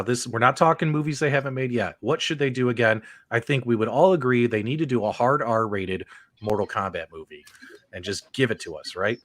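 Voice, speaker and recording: A middle-aged man hosts a live-streamed chat in a loose, rambling way and keeps checking in with his co-hosts. His voice sits at an ordinary pitch. The sound is dry and close, with no room echo. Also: a bit bright. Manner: loose, rambling, asks the others questions. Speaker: podcast host.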